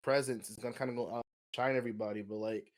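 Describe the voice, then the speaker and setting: A man with a medium-pitched voice talks as a podcast co-host. His delivery is casual and slangy, like an animated chat about films among friends. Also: fast talker. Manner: slangy, conversational, animated opinions. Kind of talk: casual movie discussion chat.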